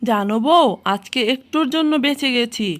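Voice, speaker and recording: Cocky high-voiced cartoon fox thief played by a woman. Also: moderate pace, natural voice. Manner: boastful, breezily dismissive. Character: cunning, cocky, mischievous male fox thief. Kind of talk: children's cartoon character acting.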